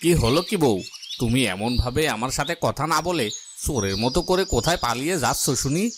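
A man's voice: mid-range voice, lively intonation, fairly quick, background noise, lightly acted. A man voicing the needling, suspicious husband of a palace gardener. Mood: taunting. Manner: taunting.

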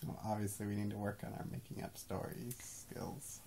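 A man's voice: lowish voice, fairly even intonation, normal speed, gravelly, warm tone, slight background noise. A man in his thirties chatting casually and playfully in a small bedroom, musing as he goes. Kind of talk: casual chat. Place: small bedroom, lying in bed.

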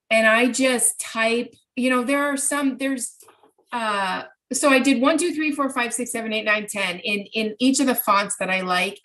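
A woman's voice: medium pitch, fairly bright tone. A middle-aged woman presenting an informal online workshop from home, explaining things step by step with examples. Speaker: presenter answering participants' questions. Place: home craft room, indoors. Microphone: computer mic on a video call.

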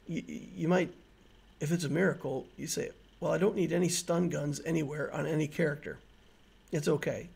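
Gravelly man explaining conversationally. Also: fairly high pitch, a little mellow. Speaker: gaming video host. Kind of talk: explanatory slide presentation, conversational.

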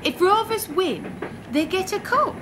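A woman's voice: sing-song, unhurried, exaggerated voice. A woman playing a naive, curious young woman, hesitant and questioning, in a radio play. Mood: innocently curious. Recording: train rumble behind the voice.

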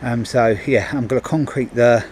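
A man's voice: medium pitch, steady tone, normal speed, darkish tone. Man, middle-aged, casual rambling handyman talk, outdoor camera mic, faint street noise. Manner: chatty, many ums and restarts. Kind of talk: casual on-the-job narration. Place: outdoors at a driveway gate. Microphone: body-worn action camera.

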